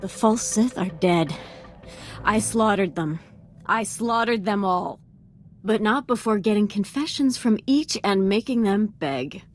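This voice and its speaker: A woman's voice: medium pitch, unhurried, soft background music, close to an everyday voice. A woman playing a zealous, ruthless Sith apprentice in a video game, speaking with fervent, vengeful intensity. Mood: vengeful satisfaction. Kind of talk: dramatic video game acting.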